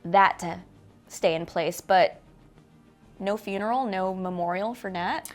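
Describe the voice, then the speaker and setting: A woman's voice: medium pitch, unhurried. Female co-host, average register, conversational movie chat, light music underneath. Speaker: entertainment show co-host reviewing a film. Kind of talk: casual movie discussion.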